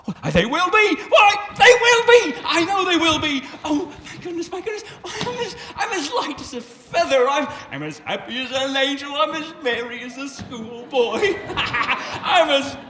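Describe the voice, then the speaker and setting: An actor playing an older repentant miser who pleads breathlessly and breaks into laughter. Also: male voice, very high-pitched, lively intonation, unhurried, big-room sound, background noise. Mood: giddy. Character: repentant old miser, frantic then giddy with joy.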